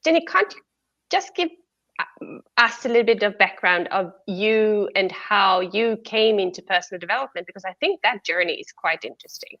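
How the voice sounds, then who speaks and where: A woman's voice: mid-range voice, expressive, moderate pace, fairly bright tone. A woman from Sweden hosts an interview, asking questions in a friendly, reflective way. Her voice sits mid-range for a woman, and it comes through somewhat muffled and lo-fi. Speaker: podcast host. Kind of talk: conversational interview.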